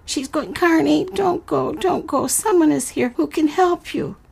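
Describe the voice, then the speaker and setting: A woman's voice: unhurried, gravelly, darkish tone, exaggerated voice. A woman voicing a frantic mother pleading with her daughter not to slip away. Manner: high, pleading, urgent.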